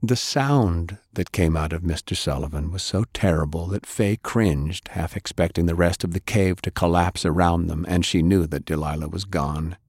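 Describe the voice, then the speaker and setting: A man reading fiction as an audiobook narrator, dramatic and grave, with measured delivery. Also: low-pitched, darkish tone, slightly rough, dry recording, studio quality.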